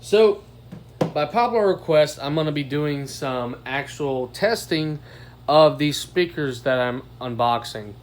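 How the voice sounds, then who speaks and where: A man's voice: a little high, lively intonation, a little slow. An American man giving a casual product demo as a reviewer, recorded on a phone microphone. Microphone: phone above the bench.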